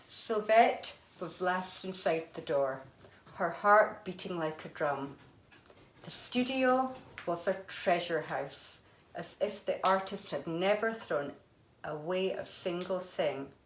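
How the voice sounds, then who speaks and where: Woman teacher reading a kids story, slow and expressive, slightly low voice, echoey room. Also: slight background noise. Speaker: art teacher reading aloud. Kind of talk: expressive children's storybook narration.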